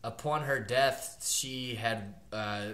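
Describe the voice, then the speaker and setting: A man chats loosely with plenty of "like" and "you know", building up a plot retelling. His voice is bright and forward. The room around him rings with noticeable echo. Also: medium pitch, takes it slowly. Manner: loose and rambling, lots of fillers, animated retelling. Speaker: podcast co-host. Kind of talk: casual chat. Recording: roomy echo.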